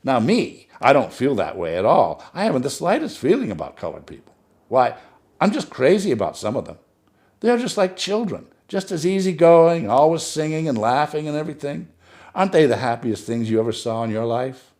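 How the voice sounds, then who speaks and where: A man's voice: mid-range voice, sing-song, fairly quick, darkish tone, close to an everyday voice. A man reading a short story aloud, voicing a chatty, gushing society woman who talks in a breathless stream and keeps praising herself.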